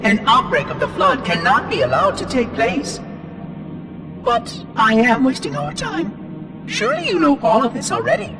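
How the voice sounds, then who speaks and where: A fussy little robot caretaker with a high, bouncy voice. He speaks in a prim, condescending, quick way, swooping all over his range. Game cutscene with a score underneath. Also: very animated, music in the background, heavily acted. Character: fussy chirpy male AI monitor robot.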